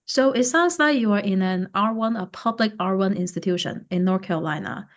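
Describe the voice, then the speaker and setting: A woman's voice: mid-range voice, expressive, brisk. Female podcast host, muffled sound. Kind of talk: podcast interview hosting.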